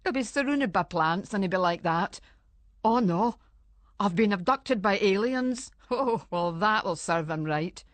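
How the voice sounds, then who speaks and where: A woman's voice: mid-range voice, expressive, unhurried, no room sound, natural voice. A woman playing an anxious Scottish wife in a radio monologue, talking in colloquial Scots as if to a friend. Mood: bluffing, exasperated. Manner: colloquial, conversational, addressing an unseen friend. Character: anxious, chatty Scottish wife fretting over missing husband. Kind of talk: dramatic monologue in Scots dialect, radio story reading.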